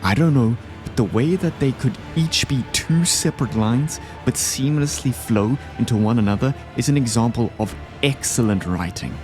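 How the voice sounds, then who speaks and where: A man's voice: medium pitch, moderate pace, hardly any pauses. A man giving enthusiastic, scripted video essay commentary, ranking game bosses. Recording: game music behind the voice. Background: music.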